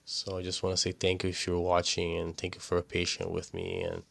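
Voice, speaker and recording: A young man whose first language is Portuguese, speaking English with an accent in a casual vlog, halting and repeating words with many fillers. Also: deep voice, completely flat delivery, gravelly, fairly bright tone, no echo. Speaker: pressure washing business owner. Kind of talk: casual vlog talk to camera.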